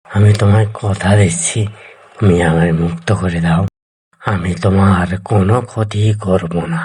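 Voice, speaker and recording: A man voicing a hungry, wily tiger with a gravelly, husky voice in a cartoon fable. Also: deep voice, fairly even intonation, moderate pace, a little mellow. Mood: pleading. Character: hungry, treacherous tiger, pleading then menacing. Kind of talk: cartoon voice acting.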